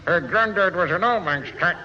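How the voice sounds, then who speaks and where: Squeaky dummy reciting corny rhymes, muffled echoey sixties radio comedy. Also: male voice, normal speed, sharp tone. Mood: sing-song. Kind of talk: 1960s radio comedy acting. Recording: echoing hall, muffled old radio, faint hiss.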